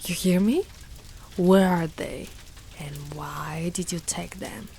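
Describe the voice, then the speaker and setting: A woman's voice: on the low side, takes it slowly, vocal fry, warm tone, slight background noise. A woman playing a devoted, fierce dragon knight in a dramatic ASMR roleplay, speaking in formal, archaic phrases with theatrical weight. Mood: menacing, commanding.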